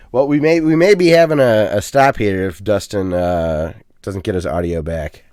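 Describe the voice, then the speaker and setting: A man's voice: lowish voice, a little slow, a little mellow, no echo, clean studio sound. A man co-hosting a casual gaming podcast, rambling and chatty with plenty of ums and uhs. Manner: self-deprecating, chatty. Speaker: podcast co-host.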